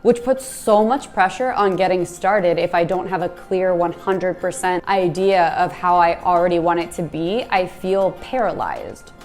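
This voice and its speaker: A woman in her twenties from the United States, giving a candid, self-deprecating talk and telling stories from her own life. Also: on the low side. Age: 20s. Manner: candid, self-deprecating, storytelling with small laughs. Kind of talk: reflective personal monologue.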